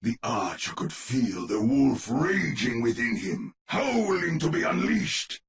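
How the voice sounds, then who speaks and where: A man playing a melodramatic storyteller, narrating a spooky heroic tale for a video game in a grand, theatrical manner with drawn-out emphasis. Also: medium pitch, big pitch swings. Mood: ominous, grand. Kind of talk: theatrical scripted gothic horror-tale narration.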